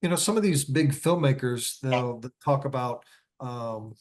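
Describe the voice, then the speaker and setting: A man with a medium-pitched voice talks casually as an interview guest. He reflects in long, loosely linked phrases, often repeating a word as he goes. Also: a little slow.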